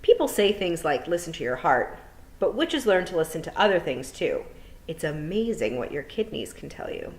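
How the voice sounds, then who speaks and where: A no-nonsense witch from a storybook, brisk and sure of herself, talking in a lowish voice and a little louder than the telling around her, as a woman reads her aloud. She states things flatly, as facts. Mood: insistent.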